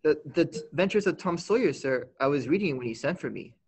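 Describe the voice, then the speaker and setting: A man's voice: fairly high pitch, animated, fast talker, a little mellow, husky. A teenage boy playing an eager, fussy, naive old apprentice angel in a staged radio play. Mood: eager, flustered.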